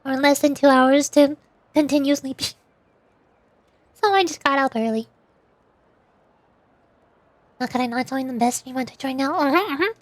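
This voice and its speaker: A woman's voice: high-pitched, brisk, no echo. A young woman streamer chatting in a playful, teasing way and laughing often. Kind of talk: casual chat while voicing game lines.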